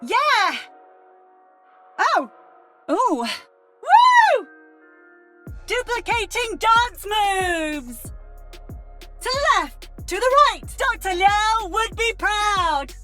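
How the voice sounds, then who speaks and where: A woman's voice: very high-pitched, sing-song, very slow, pauses often, exaggerated voice. A woman playing a curious, cheerful robot who loves dancing, with playful exclamations, in a video game. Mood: excited, playful. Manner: playful, exclamatory, wordless whoops. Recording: music behind the voice.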